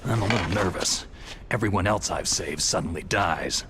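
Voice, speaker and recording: An actor with an American accent playing a gruff, hardened soldier in a video game, curt and deadpan, with a low growl. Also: male voice, moderate pace, noisy background. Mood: low, guarded. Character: gruff, terse, hardened male soldier on a solo mission. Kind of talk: dramatic video game voice acting.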